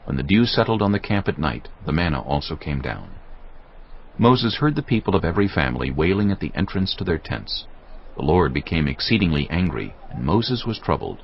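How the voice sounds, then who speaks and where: A man's voice: low-pitched, sing-song, fairly quick, husky. A man narrating a dramatized scripture audiobook in a solemn storytelling manner. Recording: dull lo-fi sound with music.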